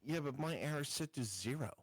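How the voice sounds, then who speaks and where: A man's voice: a little high, expressive, brisk, husky, no echo. A man playing a tabletop roleplaying game, chatting casually in a hesitant, questioning way.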